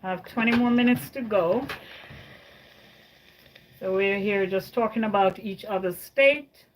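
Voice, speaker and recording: A woman's voice: mid-range voice, measured pace, rough recording. A woman from Jamaica hosting a casual livestream, chatting with viewers, answering their comments and often repeating her words. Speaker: livestream host.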